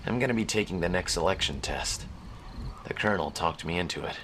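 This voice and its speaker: A man's voice: sits low, fairly quick, fairly bright tone, a little hiss or hum. A man playing a cocky, wry young army sergeant in an audio drama, with a casual, wisecracking manner. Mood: casual, curious.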